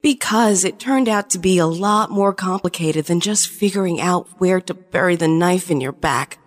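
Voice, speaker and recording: A woman's voice: lowish voice, moderate pace, close to an everyday voice. A woman playing a shapeshifting alien spy in an audio drama, a conflicted lover pleading her case earnestly. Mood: defensive, bitter. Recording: long reverberant hall sound.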